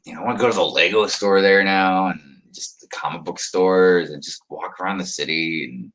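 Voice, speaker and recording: A man's voice: low voice, a little fast, fairly bright tone, a little hiss or hum, poor audio. A man hosting a livestream, chatting casually with viewers and reading their comments aloud as he rambles. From United States. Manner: rambling, chuckling, talks to viewers by name. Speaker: livestream host reading chat. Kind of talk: casual chat.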